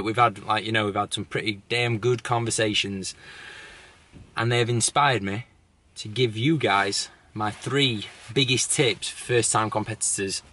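A man in his twenties from the UK, chatting casually to a handheld camera as a fitness vlogger, laid-back and swearing now and then. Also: mid-range voice. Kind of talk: casual vlog chat to camera. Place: large gym, indoors, then parked car at night. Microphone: handheld camera at arm's length. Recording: echoey gym with loud music.

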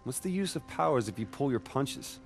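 An actor playing a cocky, hot-headed young superpowered rebel in a video game, with a casual, sarcastic way of talking. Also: male voice, medium pitch, animated, moderate pace, gravelly, soft background music.

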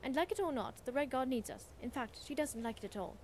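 A woman's voice: sits high, expressive, fast talker, slight background noise. A woman playing a haughty, witty scholar-adventurer in a video game, speaking in a smug, articulate and wry way. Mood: smug, measured. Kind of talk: video game character dialogue.

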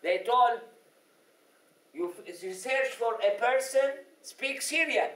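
A man's voice: extremely high pitch, animated, takes it slowly, bright, forceful tone, far from the mic. Arabic-speaking man teaching, echoey room. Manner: explanatory, frequent "okay?" and "yani" checks. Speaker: religious teacher. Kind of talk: classroom lecture. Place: classroom with whiteboard. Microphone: lapel mic.

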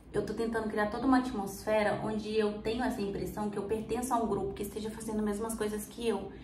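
A young woman with a cold chats casually and rambles as she does her makeup, answering her own questions. She sits a little back from the microphone in a small room with a roomy echo and a faint hum behind her. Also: medium pitch. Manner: chatty, rambling, self-questioning. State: has a cold. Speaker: vlogger. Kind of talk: casual chat while doing makeup. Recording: roomy, slightly distant mic, faint hum.

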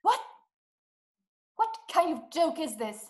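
A woman's voice: high-pitched, very animated, slow pace, full character voice. A young woman playing a distraught, imperious invalid wife in a play, speaking with dramatic, emphatic, formal diction. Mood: indignant. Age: young adult. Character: distraught, imperious, self-pitying invalid wife, desperate and impatient. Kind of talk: theatrical stage-play acting over video call. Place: small dim room at home. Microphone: webcam video call.

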